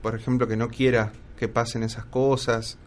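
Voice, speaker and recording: A man's voice: medium pitch, level tone, moderate pace. A man hosting an interview, asking long, meandering questions with many fillers. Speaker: radio host. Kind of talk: conversational interview.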